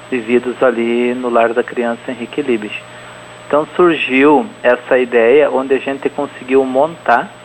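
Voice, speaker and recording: A man's voice: medium pitch, a little hiss or hum, rough recording. A man in his forties, a guest on a radio interview, speaking with frequent fillers and restarts. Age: 40s. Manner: hesitant, many fillers and restarts. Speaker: interview guest, event organizer.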